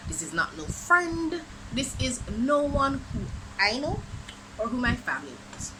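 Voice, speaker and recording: A young woman from Jamaica with a Jamaican accent, telling a dramatic storytime for her vlog in an animated, exclamatory way. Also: high-pitched, unhurried. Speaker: vlogger. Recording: noisy background ambience.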